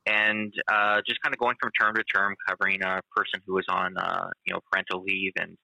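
A male teacher talking in a casual conversation, halting and full of fillers. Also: low-pitched, little pitch movement, creaky, bright, forceful tone, dry recording, low-quality recording. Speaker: teacher and guest.

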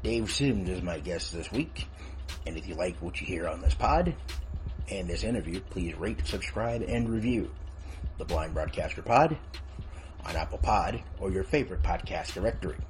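A man's voice: low-pitched, animated, normal speed, poor audio. A man hosting a podcast and interviewing in a casual, conversational style.

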